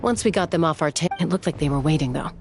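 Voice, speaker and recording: An actress voicing a composed, sensible young woman in a video game, dry and measured. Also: sits low, lively intonation, gravelly, a little mellow, a little hiss or hum. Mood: concerned. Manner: measured, dry, matter-of-fact. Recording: music behind the voice. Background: game music.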